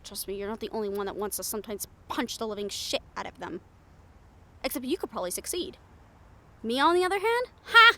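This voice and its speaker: A woman's voice: on the high side, expressive, brisk, pushed and bright. A woman playing a bold, sarcastic, foul-mouthed reporter in an improvised audio roleplay, speaking in a candid, conversational way. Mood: exasperated, indignant. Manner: conversational, exasperated, candid, with sighs and swearing.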